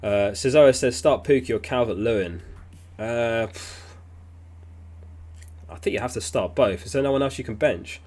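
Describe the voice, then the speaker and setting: A man hosting a casual livestream, reading viewer messages aloud in a relaxed, chatty way. He is sniffly and keeps clearing his throat. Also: mid-range voice, moderate pace. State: sniffly, getting over an illness. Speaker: fantasy football livestream host.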